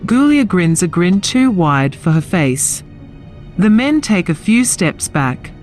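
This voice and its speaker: A woman narrates a scripted fantasy radio drama. Her pitch moves animatedly through the descriptions, with soft music underneath. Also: big pitch swings, slow pace.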